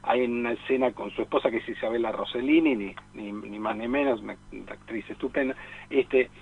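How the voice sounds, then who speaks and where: A husky-voiced man discusses cinema as a radio guest. He wanders between ideas and repeats phrases for emphasis, heard through a narrow, tinny phone-like connection. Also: mid-range voice, a little fast. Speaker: film expert guest.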